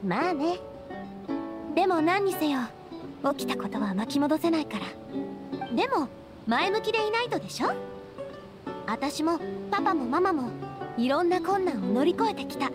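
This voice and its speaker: A woman playing a warm, resolutely upbeat young lady boss in anime game dialogue, candid and reflective. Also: high voice, sing-song, moderate pace, pauses often. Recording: studio voice with music behind.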